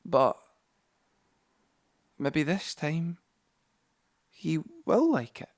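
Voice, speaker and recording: A man's voice: a little high, big pitch swings, drawn-out words, exaggerated voice. An actor playing a young Scottish man who tells a story in a confiding, colloquial way, as a dramatic monologue in an audio play. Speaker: actor-narrator. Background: music score.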